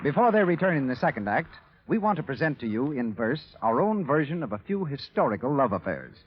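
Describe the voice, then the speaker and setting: A man hosting a 1930s radio show, delivering scripted announcements and sponsor pitches with formal showmanship. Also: very animated, brisk. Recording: muffled vintage 1930s radio recording.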